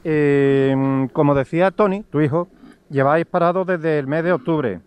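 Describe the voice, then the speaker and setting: A man's voice: a little high, moderate pace, a little mellow, smooth. A man interviewing people for local news, asking leading questions that often end with a tag question.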